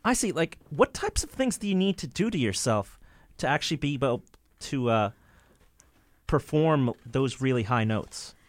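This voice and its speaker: A man's voice: medium pitch, expressive, normal speed, no echo. A man interviewing a singer, asking casual questions with loose "like" fillers.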